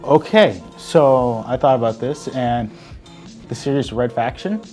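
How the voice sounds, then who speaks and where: A man's voice: medium pitch, animated, a little slow, dark tone, faint music behind. A man arguing his points as a panelist in a casual chat show. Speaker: debate panelist.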